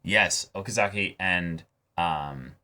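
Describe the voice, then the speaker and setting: Guy with a really deep but bright voice, casual reaction chat, kinda thinks out loud as he goes. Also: slow pace. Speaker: reaction show co-host. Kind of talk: casual discussion of an anime episode.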